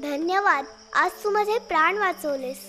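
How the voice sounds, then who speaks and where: A woman voicing a tiny, earnest ant with a small childlike voice in a children's animated story. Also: very high-pitched, normal speed, faint music behind. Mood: grateful.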